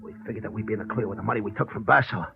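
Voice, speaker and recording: An actor playing a scheming, cornered killer in a 1940s radio crime drama, excitable and defiant. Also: male voice, lowish voice, very fast, creaky. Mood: cornered, confessing. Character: scheming treacherous male killer, cornered and desperate. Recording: dull old radio recording.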